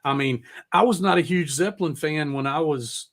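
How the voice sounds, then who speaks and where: A man's voice: a little high, moderate pace. A middle-aged man hosting an interview as a casual chat, informal and rambling, with the odd swear word. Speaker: podcast host.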